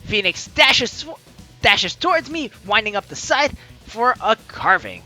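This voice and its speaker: A woman hosting a let's play, chatty and excitable, reading game narration aloud with drama and commenting as she goes. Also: fairly high pitch, unhurried. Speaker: gaming video host. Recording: game music behind the voice. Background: game music.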